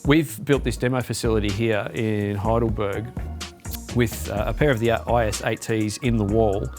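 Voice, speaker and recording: An Australian man talks through a product line in a relaxed, conversational way, with plenty of fillers and restarts. His voice sits on the low side. Music plays clearly behind him. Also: normal speed. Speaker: business development manager. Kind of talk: product walkthrough. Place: demo facility.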